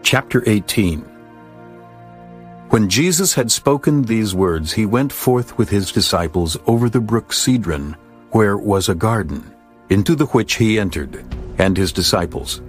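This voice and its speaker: Male narrator, very low register, formal measured scripture reading over a faint music bed. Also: animated.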